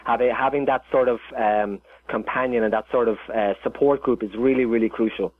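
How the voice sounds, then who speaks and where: A man's voice: mid-range voice, steady tone, fairly quick, dry recording. A man with an Irish accent, a chef talking as a guest in a casual interview, rambling with many restarts and fillers. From Ireland. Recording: tinny phone line.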